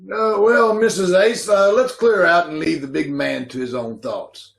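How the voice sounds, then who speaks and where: A man's voice: high voice, steady tone, a little slow, gravelly, slight background noise. A man playing a breezy, pushy brother-in-law in an amateur old-time radio play read over a video call.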